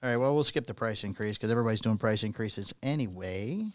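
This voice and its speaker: A man co-hosting a talk show, reading news items aloud in a casual way and often halting to find his place. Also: lowish voice, no echo, clean studio sound. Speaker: podcast co-host reading news.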